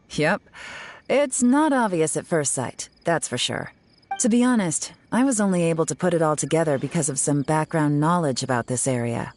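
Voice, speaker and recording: A woman's voice: low-pitched, darkish tone. An actress playing a composed, sly young woman investigator in a video game, dry and teasing as she explains. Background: faint game music.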